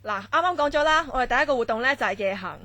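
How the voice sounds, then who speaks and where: A woman acting a brisk assistant camp instructor in a Cantonese radio drama, explaining tasks conversationally. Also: sits high, lively intonation, pushed and bright, no echo. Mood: businesslike. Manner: explaining tasks, chatty fillers.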